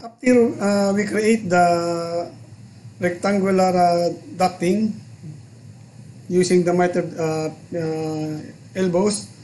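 A man in his forties with a Filipino accent, hosting a home vlog and software tutorial, chatty and halting, mixing languages. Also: sits high, steady tone, slight background noise, poor audio. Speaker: channel host and software tutor. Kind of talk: casual vlog chat and screen tutorial. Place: small room at home, indoors.

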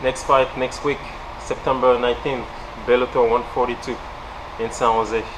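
A young man with a French accent, a fighter being interviewed in a large indoor gym, speaking haltingly with many fillers. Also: medium pitch, little pitch movement, background noise. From France. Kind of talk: casual interview.

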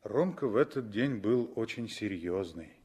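A man's voice: on the low side, animated, measured pace, a little mellow, breathy edge. A man narrating a story in the scripted style of 1960s Soviet radio drama.

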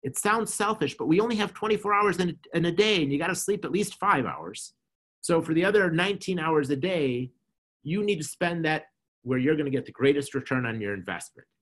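Middle-aged man, nasal and slightly husky, earnest coaching tone, webcam audio. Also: fairly high pitch, lively intonation, brisk, fairly bright tone. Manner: earnest, coaching, repeats phrases. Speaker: interview guest, marketing consultant. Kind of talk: explaining advice in an online talk. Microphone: video call webcam.

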